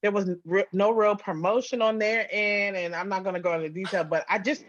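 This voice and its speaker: A woman's voice: medium pitch, steady tone, brisk. Woman writer being interviewed, casual and chatty, kinda muffled lo-fi recording. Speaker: interview guest, writer and audio drama producer. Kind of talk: casual conversational interview answers.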